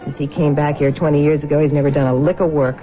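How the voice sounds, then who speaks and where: An actress playing a greedy, scheming wife with a sly, slangy, drawling manner in a 1970s radio drama. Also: female voice, sits low, little pitch movement, fast, phone-quality sound, music in the background, natural voice. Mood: greedy, calculating. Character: greedy, scheming, unfaithful wife, hard-boiled and brassy. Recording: thin old radio broadcast.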